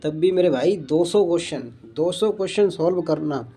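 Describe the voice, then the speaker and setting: A man's voice: fairly high pitch, expressive, moderate pace, mellow, husky. A young man giving informal exam strategy advice as a coaching instructor, earnest and repetitive in the way he addresses his listeners.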